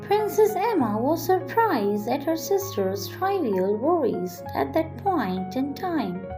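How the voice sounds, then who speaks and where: A woman with a high voice narrates a children's bedtime story. Her delivery is slow and very melodic, following a script, with music playing underneath.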